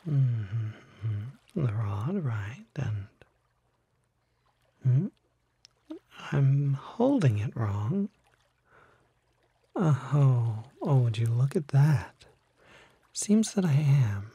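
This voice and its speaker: A man voicing a charming, unsettling kelpie in an ASMR roleplay, soft and often whispering, coaxing and flattering with teasing false modesty, close to the microphone. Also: mid-range voice, drawn-out words, long pauses, husky, no room sound, exaggerated voice. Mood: feigned embarrassment, flirtatious. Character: charming, unsettling male kelpie, smooth-talking seductive lurer. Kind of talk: ASMR roleplay, intimate one-sided acted dialogue.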